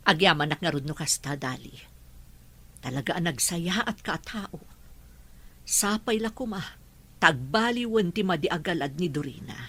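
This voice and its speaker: A woman playing the kindly, troubled mother and lady of a house, measured and earnest, in an Ilocano radio drama. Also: on the low side, expressive, fairly bright tone. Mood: earnest, grateful.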